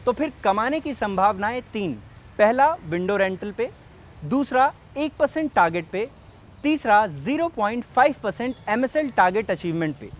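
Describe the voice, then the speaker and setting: A man's voice: high-pitched, sing-song, normal speed. A man playing an earnest, persuasive sales rep, explaining things directly to the listener in a scripted training film. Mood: explanatory, upbeat. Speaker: presenter and sales representative. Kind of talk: scripted training film narration and role-play.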